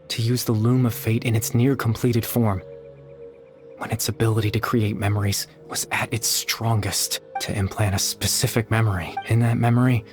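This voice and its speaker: Man acting a gentle, sorrowful low-voiced young man. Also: lightly acted. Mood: sorrowful, resigned. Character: gentle sorrowful young man, lingering consciousness, once turned monster. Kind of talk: dramatic game dialogue.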